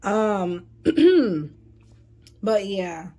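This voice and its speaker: A woman giving a casual, rambling tarot reading to her viewers, her voice going a bit hoarse. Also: mid-range voice, very animated, extremely slow, mellow. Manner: chatty, rambling, frequent fillers. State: voice getting hoarse. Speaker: tarot reader.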